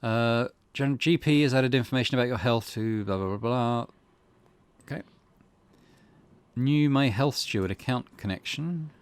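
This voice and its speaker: A man's voice: medium pitch, unhurried, long pauses, clean tone. A man hosting a let's play, reading game text aloud and commenting on it in a thoughtful, musing way.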